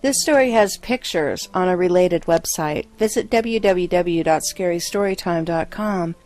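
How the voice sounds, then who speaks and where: A woman with a fairly low voice reads a scripted horror story in the first person, as its narrator. Faint music and a sound of rain sit behind her voice. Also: darkish tone.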